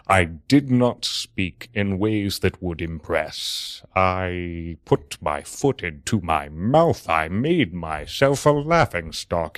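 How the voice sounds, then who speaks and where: A man playing a pompous, evasive poet lord who declaims in drawn-out phrases in an improvised comedy scene. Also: fairly low pitch, no room sound, studio quality. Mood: mock-solemn.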